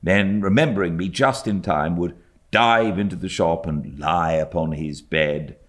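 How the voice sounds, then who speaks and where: A British man reading classic literature aloud as an audiobook narrator, with expressive storytelling delivery. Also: sits low, measured pace. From Britain.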